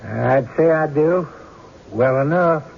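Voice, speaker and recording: An actor playing a hesitant, grudging country card player on the witness stand, halting and folksy, in a radio drama. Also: male voice, a little high, gravelly. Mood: guarded. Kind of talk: 1970s radio drama acting. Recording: muffled, noisy old radio broadcast.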